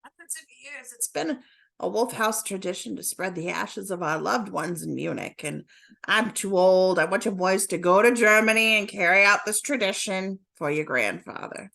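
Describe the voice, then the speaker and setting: A woman voicing an elderly German grandmother who talks haltingly with many pauses. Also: medium pitch, expressive, creaky, a bit bright. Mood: solemn. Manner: halting, hesitant speech with many uh's. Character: old German grandmother, fussy and doting.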